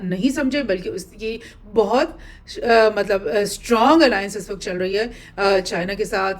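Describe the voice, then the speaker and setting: A woman gives informal news commentary as a host, explaining and rephrasing her points in a halting way. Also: medium pitch. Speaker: host presenting news analysis.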